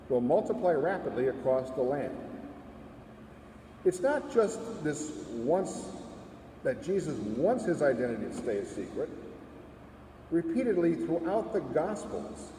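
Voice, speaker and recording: A man who serves as a church's senior warden, reading a sermon in a measured, emphatic way with rhetorical questions. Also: a little high, warm tone, slight background noise. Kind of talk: read sermon. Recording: echoey church from far off.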